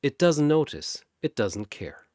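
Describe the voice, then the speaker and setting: Male narrator, scripted story, slow delivery, lively rising and falling pitch, dry close sound, wry asides. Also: no echo. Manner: dramatic, wry, deadpan asides.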